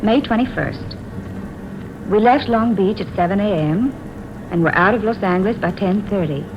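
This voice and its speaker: Woman acting sweet curious wife in an old radio play, mid pitch, dull sound w/ rain noise behind. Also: moderate pace, lightly acted. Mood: brisk, pleased. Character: affectionate, curious wife and travelling companion.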